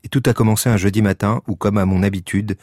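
Actor playing a low-voiced, wry police lieutenant. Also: male voice, steady tone, fast talker, a little mellow, lightly acted. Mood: deadpan narration. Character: wry, world-weary male police lieutenant, fond of oddities. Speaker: narrator and lead character. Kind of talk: scripted radio fiction acting.

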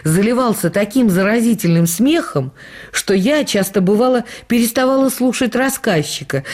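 An actress playing a writer's widow, fondly reading her memoir for radio in a measured, reflective storytelling manner. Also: medium pitch, darkish tone, natural voice. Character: writer's widowed actress wife fondly recalling their life.

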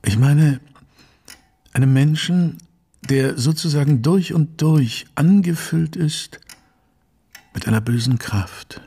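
Grave period gentleman for radio drama: slow, husky, mid-pitched, courteous, formal and troubled. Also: heavily acted. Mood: brooding, troubled. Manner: measured, formal, brooding. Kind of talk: German radio play acting.

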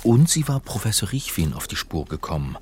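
A man's voice: lowish voice, very animated, fairly quick, darkish tone, a little hiss or hum. An actor playing a wry, exasperated male academic turned amateur sleuth in a German radio crime drama, speaking conversationally with some hesitation. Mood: wry narrating. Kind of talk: German radio play, narration and dialogue.

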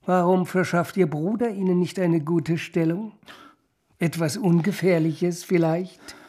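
Condescending old banker, blunt and mocking. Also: male voice, high-pitched, fairly even intonation, unhurried, warm tone. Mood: mocking refusal. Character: powerful old banker, dismissive, coldly mocking, avuncular. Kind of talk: radio drama acting.

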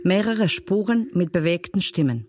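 A woman's voice: fairly low pitch, lively intonation, normal speed. Woman narrating over noticeable noise. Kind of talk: scripted narration in an audio montage.

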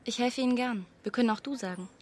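A woman's voice: on the high side, fast, natural voice. An actress playing a young, grieving and tense woman in a naturalistic radio drama, talking informally in clipped phrases. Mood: troubled, cooperative. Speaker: actor in a radio play.

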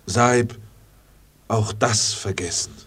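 Husky, halting, fearful male servant. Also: lowish voice, a bit bright, exaggerated voice. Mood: reluctant, submissive. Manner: halting broken German, deferential. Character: fearful, submissive male native servant left behind with explorers. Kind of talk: German radio play acting.

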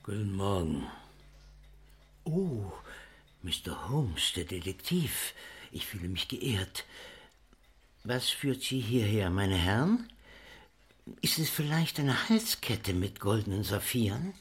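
An actor playing an oily, sardonic pawnbroker in a radio drama, very raspy, smarmy and mock-polite. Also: male voice, fairly low pitch, big pitch swings, takes it slowly, long pauses, exaggerated voice. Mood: mock-polite, sly. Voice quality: very raspy, husky. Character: oily, sardonic male London pawnbroker, mock-courteous and callous.

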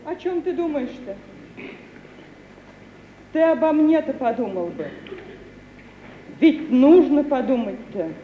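A woman's voice: high-pitched, lively intonation, slow, long pauses. An actress playing a tender, wistful young woman in love, coaxing and emotional, in an old radio play. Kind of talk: 1950 Russian radio play acting. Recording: muffled, hissy 1950s radio recording.

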